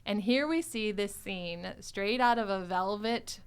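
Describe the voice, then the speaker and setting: A woman's voice: mid-range voice, measured pace, clear, resonant tone. A woman podcast host chatting casually and expressively, laughing often and acting out bits of dialogue as she retells scenes.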